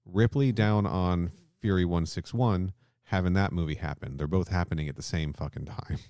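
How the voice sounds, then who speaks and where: A man with an extremely low, deep voice chats as a podcast host. He is informal and a bit sweary, recorded dry with no echo around him. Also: normal speed, a little mellow. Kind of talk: casual podcast discussion.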